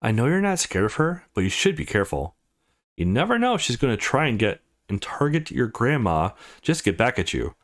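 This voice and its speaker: A man's voice: medium pitch, very animated, brisk. A man voicing a brash, foul-mouthed, teasing young woman who is a loyal friend in a visual novel game. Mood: protective.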